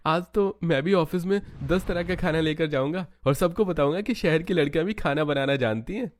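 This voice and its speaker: A caring young husband from a cartoon family story, acted by a man. The voice sits clearly higher than plain narration, addressing family in an attentive way. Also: moderate pace, a little hiss or hum, lightly acted. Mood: cheerful.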